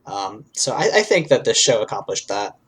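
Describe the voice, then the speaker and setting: A man's voice: fairly low pitch, very animated, normal speed, fairly bright tone, breathy edge. A man co-hosting a podcast, giving informal, discursive commentary full of asides in a conversational way. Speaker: podcast co-host.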